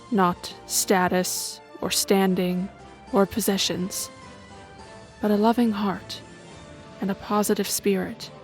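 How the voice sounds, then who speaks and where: Lady doing a calm pious game character, medium pitch, earnest, faint music. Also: lightly acted.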